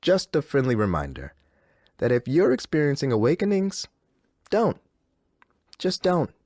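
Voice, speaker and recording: An eerily happy radio DJ, soothing and theatrical like a cult host. The medium-pitched voice swings widely up and down, recorded dry and close. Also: male voice, huge pitch swings, a little slow, a little mellow, dry recording. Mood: friendly, menacing. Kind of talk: scripted game radio patter.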